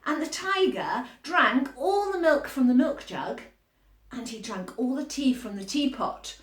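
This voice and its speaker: Female storyteller, higher-pitched, echoey room, animated picture-book reading with teacherly asides for little ones. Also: a little slow. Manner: encouraging, explains and asks questions to young listeners. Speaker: nursery storyteller reading picture books to children. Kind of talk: animated read-aloud storytime with asides to young children.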